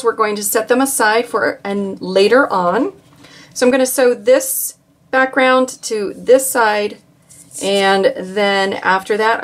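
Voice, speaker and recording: A woman calmly walking viewers through a quilt block step by step, with occasional fillers as she works. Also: mid-range voice. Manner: calm, instructive, with occasional fillers. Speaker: quilting instructor. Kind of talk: step-by-step craft tutorial.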